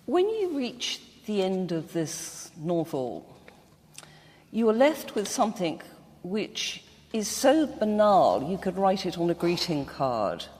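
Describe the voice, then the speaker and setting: Low-voiced woman in a reverberant hall. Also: big pitch swings, slow, a little mellow, slight background noise. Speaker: panel guest, writer. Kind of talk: literary panel discussion.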